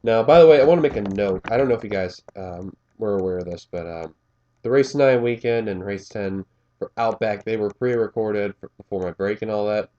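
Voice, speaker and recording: A man's voice: fairly low pitch, brisk, warm tone, muffled recording. A man with a slightly scratchy voice doing casual play-by-play commentary of a race, rambling with fillers and correcting himself as he goes.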